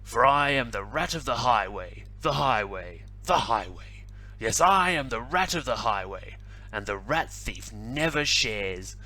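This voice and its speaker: A man voicing a greedy, bullying highway robber rat in a picture-book reading, shouting his demands. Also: animated, a little slow, exaggerated voice. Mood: bellowing greedy. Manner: loud, blustering demands. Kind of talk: picture-book read-aloud.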